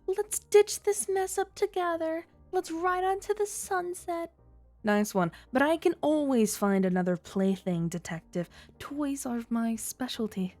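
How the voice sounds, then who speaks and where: A woman's voice: very high-pitched, darkish tone, heavily acted. A woman playing a flirty, scheming underworld informant in an ASMR audio drama, with a teasing, coy, mock-sweet delivery. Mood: mock adoring, then sly, teasing.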